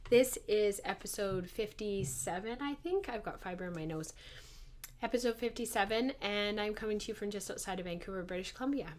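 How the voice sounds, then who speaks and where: A woman in her thirties hosts a casual podcast, talking chattily to her viewers. Her mid-pitched voice is hoarse and congested from a heavy cold, and she sounds like she is struggling a little to keep it going. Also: brisk, darkish tone. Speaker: podcast host.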